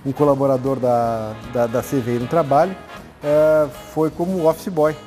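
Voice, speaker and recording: Man in his 40s, mellow voice, hesitant interview answers, faint music. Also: mid-range voice, fairly even intonation. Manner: measured, hesitant with many fillers. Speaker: guest.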